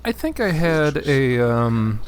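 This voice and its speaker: A man casually co-commentating a video game, relaxed and hesitant. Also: mid-range voice, takes it slowly, slight background noise. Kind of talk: casual let's-play chat.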